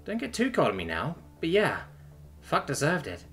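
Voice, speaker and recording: A tough woman from a sci-fi story, acted out by a man, wry and tender underneath. The voice is pushed high and moves freely in pitch, with a bright edge and music behind it. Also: wildly swooping pitch, normal speed, exaggerated voice. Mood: wry, teasing. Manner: blunt, wry, casual swearing. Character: tough, foul-mouthed, battle-worn Terran woman, teasing and tender.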